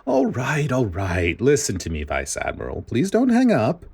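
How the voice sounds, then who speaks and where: A man's voice: mid-range voice, wildly swooping pitch, moderate pace, creaky, darkish tone, husky, pitched above the performer's own voice, heavily acted. A man voicing a grating, condescending government director in an audiobook-style story, with dramatic, sugary fake pleasantness. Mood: sugary, wheedling.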